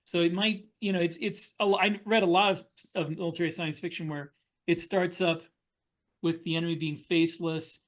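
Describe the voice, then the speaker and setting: A man with a somewhat high voice talks informally as a podcast panelist. His delivery is halting, and he often repeats words while forming a thought. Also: level tone. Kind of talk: casual discussion.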